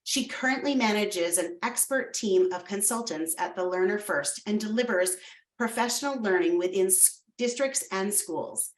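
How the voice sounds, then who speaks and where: A woman hosting a webinar and introducing the panel, reading from a script and stumbling a little now and then. Her mid-pitched voice sounds roomy and a bit far from the mic, like a video call. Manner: reads from a script with small slips and self-corrections. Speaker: webinar host.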